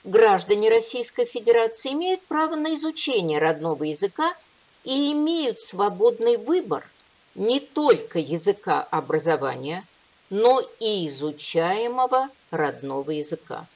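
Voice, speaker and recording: A woman delivers an academic conference lecture in a measured, explanatory way. Her intonation moves up and down a lot as she stresses key points. The recording sounds dull and lo-fi. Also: mid-range voice, big pitch swings.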